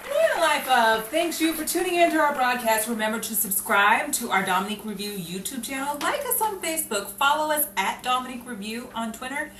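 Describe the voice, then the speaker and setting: A woman's voice: sits high, animated, brisk, few pauses, fairly bright tone, some chatter behind. A woman hosting and directing an audio drama troupe, talking casually to her cast and listeners. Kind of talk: casual directing and show sign-off. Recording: echoey noisy room, far from mic.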